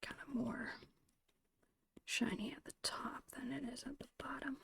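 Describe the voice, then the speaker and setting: Woman, soft whisper with creaky edges, unhurried murmured musing, ASMR art host, close microphone. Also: sits high, fairly even intonation. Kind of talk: whispered ASMR narration of digital painting.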